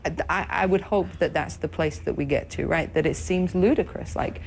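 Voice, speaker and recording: A woman's voice: sits low, fairly quick, vocal fry, a little mellow, slight background noise. A woman who founded an organization speaks in an interview, making her points emphatically and with growing conviction. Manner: earnest, emphatic, rising with conviction.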